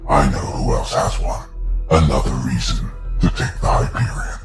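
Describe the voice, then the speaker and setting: An actor playing a menacing, grandiose alien warlord in a video game, with a pompous and gloating manner. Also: male voice, extremely low pitch, fairly even intonation, moderate pace, reverberant, over music. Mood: gloating menace. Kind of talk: dramatic video game villain acting.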